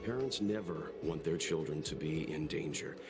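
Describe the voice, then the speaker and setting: Low-voiced, calm, courteous traveller. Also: male voice, normal speed. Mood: calm, measured. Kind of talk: video game voice acting. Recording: game dialogue under music.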